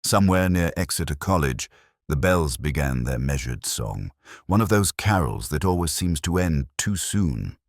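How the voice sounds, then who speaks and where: Very deep, brooding retired detective played by a man. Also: animated, no room sound, clean studio sound, full character voice. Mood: wistful, brooding. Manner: measured, wistful, erudite, wry. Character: melancholy older male retired Oxford police inspector, reflective narrator. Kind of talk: literary first-person detective drama monologue.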